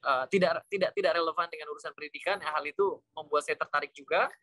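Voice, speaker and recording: A man's voice: a little high, fast, bright, forceful tone, no room sound. A man from Indonesia speaking as an interview guest, informally telling personal stories and mixing in English words. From Cirebon, West Java, Indonesia. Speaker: interview guest, Islamic school educator. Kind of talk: conversational personal storytelling.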